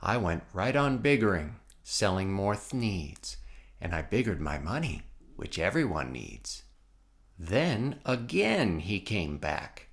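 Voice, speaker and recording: Animated, unhurried male storybook narrator. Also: sits low, vocal fry. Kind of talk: expressive children's read-aloud narration.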